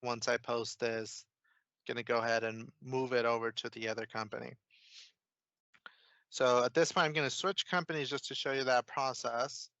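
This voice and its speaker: Guy doing a casual software demo step by step, crisp bright voice, bit dull online-call audio. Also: medium pitch, steady tone, normal speed, dry recording. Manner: informal, explanatory, step by step. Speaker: software consultant, demo presenter. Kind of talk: casual screen-share software walkthrough.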